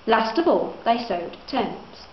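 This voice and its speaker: A woman's voice: on the high side, expressive, measured pace. A young woman reading a children's picture book aloud as a student narrator. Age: young adult. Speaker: student reader. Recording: echoey, muffled room, faint background noise.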